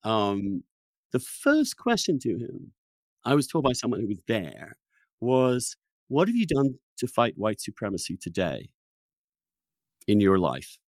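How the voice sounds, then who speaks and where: A man's voice: on the low side, huge pitch swings, measured pace, long pauses, no echo. A man hosting a podcast, giving opinionated conversational commentary and often repeating and restarting his phrases. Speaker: podcast host.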